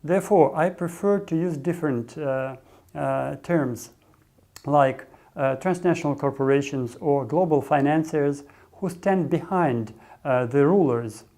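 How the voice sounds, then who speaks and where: A man answering interview questions hesitantly, filling pauses with many ums and uhs. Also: on the high side, dark tone. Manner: hesitant, heavy fillers.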